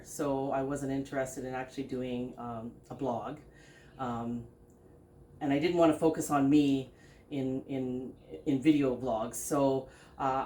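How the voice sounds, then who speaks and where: A middle-aged woman hosting a podcast from a living room sofa, telling her own story in a halting, casual way with many fillers and short laughs. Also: low voice, a little mellow, roomy sound. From Philippines, raised in Canada. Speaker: podcast host and business founder. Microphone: stand mic between speakers.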